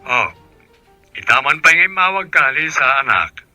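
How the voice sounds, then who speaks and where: An inquisitive uncle in a radio drama, with a very sharp, bright voice and lively intonation. He has a Filipino Ilocano accent, and faint music sits behind him. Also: medium pitch, a little slow, no echo, exaggerated voice. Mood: friendly. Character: inquisitive uncle on a phone call.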